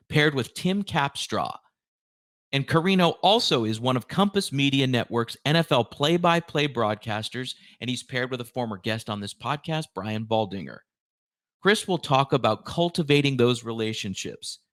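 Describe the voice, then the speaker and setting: A man's voice: medium pitch, a bit bright, dry recording. Male podcast host, dry close sound. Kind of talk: scripted podcast introduction, then conversational interviewing.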